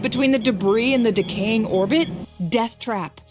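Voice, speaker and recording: A woman's voice: fairly high pitch, normal speed, natural voice. An actress playing a brash, salty dropship pilot in a sci-fi video game, with music behind her. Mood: blunt, wry.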